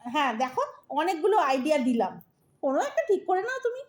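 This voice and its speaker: A woman's voice: sits high, very animated, quick pace. A woman playing an exasperated old wife who scolds her husband with sarcasm and mock sweetness in a comic audio drama. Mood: mock-sweet coaxing.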